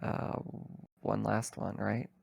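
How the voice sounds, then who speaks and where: A man's voice: on the low side, level tone, slow, creaky, a little mellow, breathy edge, no room sound. A man acting as game master in a casual tabletop roleplaying session, explaining things informally.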